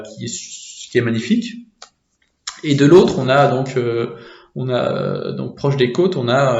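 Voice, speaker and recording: A young French man from Normandy, answering interview questions casually with many hesitant pauses. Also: medium pitch, creaky, darkish tone, roomy sound, dull audio. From France, Normandy.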